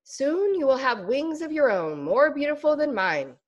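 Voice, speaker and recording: A woman voicing a wise dragonfly in a storybook, calm and knowing. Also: high voice, normal speed, highs cut off, pitched above the performer's own voice.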